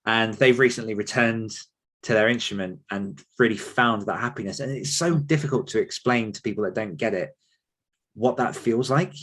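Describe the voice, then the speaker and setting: A man's voice: fairly low pitch, normal speed, a bit bright. A man speaking earnestly and conversationally as an author coach being interviewed.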